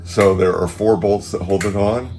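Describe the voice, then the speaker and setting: A man's voice: on the low side, steady tone, normal speed, noisy background. A man with a stuffed-up nose from allergies, hosting a casual how-to vlog in his garage and thinking aloud with fillers. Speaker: car channel host. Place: home garage beside a car.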